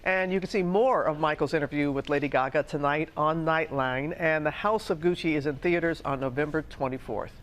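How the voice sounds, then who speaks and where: A woman's voice: low voice, a little fast, few pauses. Woman, morning TV host, cheerful outro. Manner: upbeat, friendly. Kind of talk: channel outro.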